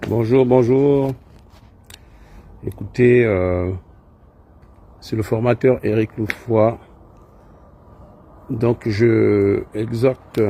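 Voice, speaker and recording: A man's voice: fairly low pitch, warm tone. A man, an IT trainer, giving an informal promotional address in hesitant, repetitive phrases. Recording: faint background noise.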